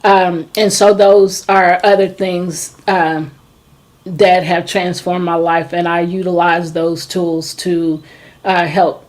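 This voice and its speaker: Middle-aged woman, talk-show host and coach, very slow hesitant delivery. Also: lowish voice, level tone, darkish tone. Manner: slow, hesitant, many ums. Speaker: trauma and forgiveness coach. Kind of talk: casual chat.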